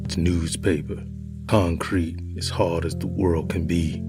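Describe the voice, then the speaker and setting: A man voicing a homeless man who haltingly confesses to a dark crime, told as horror storytelling with music. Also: low voice, fairly even intonation. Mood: haunted. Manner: haunted, halting confession that builds to frantic. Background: music score.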